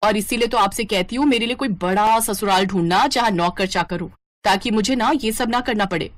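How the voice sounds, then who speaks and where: A woman's voice: mid-range voice, fairly quick, natural voice. A woman voicing a young, overworked daughter-in-law who mutters with exasperation and self-pity in a dramatic story style. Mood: defiant.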